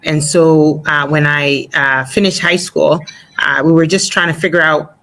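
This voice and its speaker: A woman in her forties, originally from Ghana, talks as a guest in a casual interview. Her voice sits very low for a woman. She is chatty, laughs easily and fills pauses with little ums and uhs. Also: steady tone, fairly bright tone, low-quality recording.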